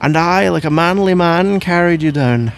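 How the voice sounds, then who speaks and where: A man's voice: a little high, moderate pace, gravelly, a little hiss or hum. A man playing a sleepy, grumbling, playful husband in an ASMR roleplay, rambling and bantering with mock indignation. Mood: teasing, mock-boastful. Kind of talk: ASMR roleplay, improvised-sounding one-sided conversation.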